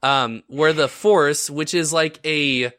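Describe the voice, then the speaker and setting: Bright-voiced man on a casual podcast. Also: fairly high pitch, steady tone, slow pace, smooth. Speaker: podcast host leading the episode.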